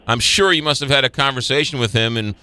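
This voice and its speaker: A man's voice: medium pitch, fast, sharp tone, clear, resonant tone, dry recording. A man hosting a radio interview in a studio, stumbling and restarting his questions. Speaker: radio host.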